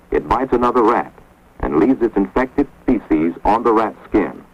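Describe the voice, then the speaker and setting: A man's voice: lowish voice, slightly rough. Grave man narrating an old film. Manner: measured, grave, authoritative delivery. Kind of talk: scripted educational narration. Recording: dull, lo-fi 1950s film soundtrack.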